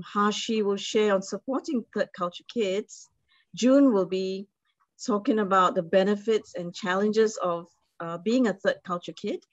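A woman's voice: medium pitch, dry recording. Woman, forum host, hesitant speech with fillers, muffled dry video call sound. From Singapore. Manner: hesitant, many fillers and restarts. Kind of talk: online webinar introduction.

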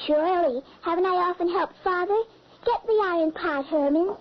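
A sweet little girl in a vintage radio drama. The voice is tiny, timid and extremely high. The recording is dull with faint hiss. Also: normal speed. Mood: helpful. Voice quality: childlike. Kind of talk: 1940s radio acting. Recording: muffled, hissy old radio recording.

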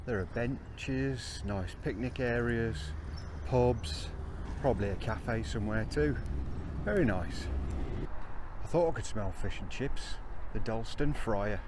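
A man in his fifties vlogging casually to a handheld phone while hiking outdoors, chatty and relaxed with some fillers. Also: medium pitch, slow. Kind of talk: casual outdoor vlog to camera. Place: open fields and village streets outdoors. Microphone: phone held at arm's length. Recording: faint outdoor noise.

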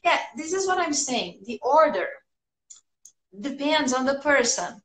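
Brazilian-accented woman in her thirties, upbeat English teacher chatting to viewers; slightly high voice, echoey home room, phone up close. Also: sing-song, a little slow, a bit bright. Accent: Brazilian Portuguese. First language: Portuguese. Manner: chatty and upbeat, laughing often. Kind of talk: casual livestream chat answering viewers' comments. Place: small room at home, indoors.

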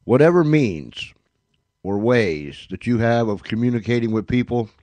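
A man's voice: mid-range voice, lively intonation, normal speed, a little mellow, no room sound. A man hosting a radio show, announcing in a folksy, plain-spoken way with joking asides.

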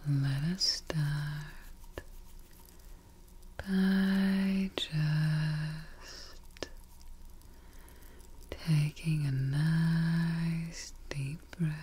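A woman leading an ASMR guided meditation in a soft, breathy, mostly whispered voice, gentle and soothing, with short phrases and pauses, close to the microphone. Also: deep voice, steady tone, slight background noise. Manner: soothing, gentle, unhurried, pausing often between short phrases. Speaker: ASMR host guiding meditation.

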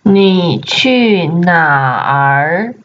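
A woman teacher reads vocabulary words and sentences aloud, repeating each one slowly and deliberately for learners. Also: on the low side, expressive. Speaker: language teacher. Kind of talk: vocabulary drill reading. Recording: dull lo-fi sound.

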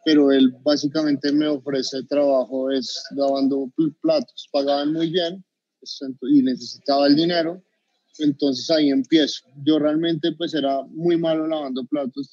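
A man's voice: on the high side, level tone, moderate pace, a little mellow, no echo. A man in his thirties with a Bogotá Colombian accent, a chef telling his story on a video call, hesitant with many fillers and restarts. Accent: Bogotá Colombian Spanish. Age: 30s. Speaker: chef guest. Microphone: video call webcam. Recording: dull dry video call audio.

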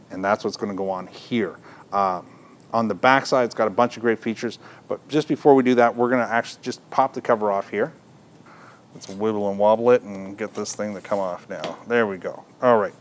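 A middle-aged man presenting a product in an informal, unscripted, explanatory way. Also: medium pitch. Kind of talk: unscripted product demonstration talk. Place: indoor audio gear shop, at a counter.

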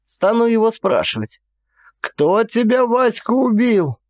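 A male narrator plays a frail old village woman in a storybook, her voice pitched high and swinging up and down. She rambles in folksy, resigned, childlike phrases, with sighs. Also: very animated, a little slow, darkish tone, no echo, clean studio sound, heavily acted. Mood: plaintive recollection. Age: older. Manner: folksy rural speech, rambling, sighing. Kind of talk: dramatized audiobook storytelling.

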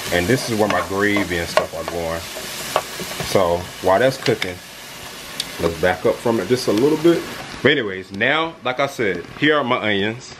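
A man's voice: on the low side, normal speed. A young man casually walking viewers through each step of his cooking in a home kitchen, filmed on a handheld camera. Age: young adult. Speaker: cooking vlogger. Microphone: handheld camera, close and at arm's length. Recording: home kitchen with frying and stirring noise. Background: food sizzling in a pan.

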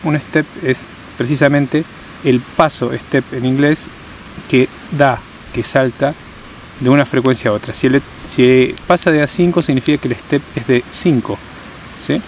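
A man's voice: mid-range voice, unhurried, a little hiss or hum, low-quality recording. A man giving a hands-on tutorial outdoors, explaining step by step and repeating key terms. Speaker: tutorial presenter. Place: outdoors on stony ground.

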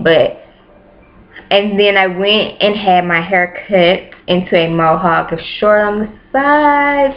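Chatty young woman on a webcam. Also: lowish voice. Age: 20s. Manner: chatty, repeats herself for emphasis. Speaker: YouTuber. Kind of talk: casual chat to camera. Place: small bedroom indoors. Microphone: webcam at close range. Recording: dull lo-fi webcam audio.

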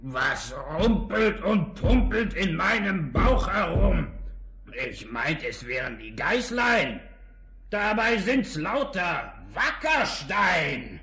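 A greedy wolf, growling and groaning very slowly. His forceful voice swings widely in pitch, theatrical as in an old fairy-tale radio play, with echo around it. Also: sing-song, a crowd in the background, full character voice. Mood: puzzled, grumbling. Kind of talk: 1960s German children's radio play acting.

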